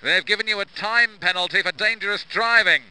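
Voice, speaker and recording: A man's voice: high-pitched, brisk, pushed and bright, rough recording. A man playing a pit crew member giving terse team radio instructions to his driver in a racing game. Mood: urgent. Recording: faint hiss and engine noise.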